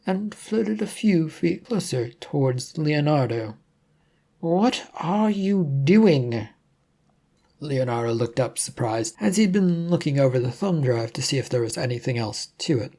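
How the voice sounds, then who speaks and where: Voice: medium pitch, gravelly, mellow. A narrator reading scripted fiction as an audiobook.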